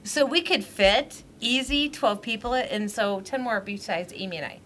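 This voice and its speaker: A woman's voice: medium pitch, moderate pace, a bit bright, slight background noise. A middle-aged woman, co-hosting a podcast at her dining table, rambling and laughing often, a little tipsy. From Michigan, USA. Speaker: podcast co-host. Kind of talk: casual chat. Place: at a large dining table at home.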